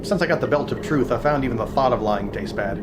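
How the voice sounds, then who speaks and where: A man's voice: medium pitch, fast talker, heavy background noise, lightly acted. A man playing a bewildered, self-doubting everyman hero in a comedic fantasy audio drama, casual and emotive. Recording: music bed under voice.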